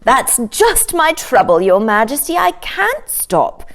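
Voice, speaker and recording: A woman's voice: high voice, very animated, slow, a bit bright, voice pushed up, exaggerated voice. A woman voicing a distressed, polite red cow in a storybook, plaintive and earnest. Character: distressed, polite, simple red cow who cannot stop dancing.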